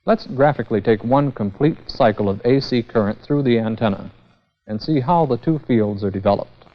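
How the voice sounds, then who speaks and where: A man's voice: mid-range voice, normal speed. A man giving a formal, methodical scripted technical lecture as the instructor in a vintage educational film. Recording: dull, band-limited vintage 16mm film sound.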